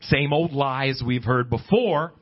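A middle-aged American man preaching as a pastor, in emphatic, deliberate phrases with pauses. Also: medium pitch. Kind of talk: preaching a sermon to a congregation, reading scripture. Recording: dull, lo-fi sermon recording.

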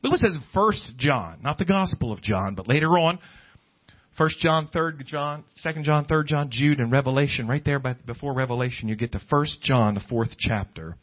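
A man's voice: mid-range voice, moderate pace. A man preaching a conversational, off-the-cuff sermon, emphatic and full of rhetorical questions and quotations. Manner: emphatic, rhetorical questions, quotes scripture and imagined objectors. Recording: dull, lo-fi sermon recording.

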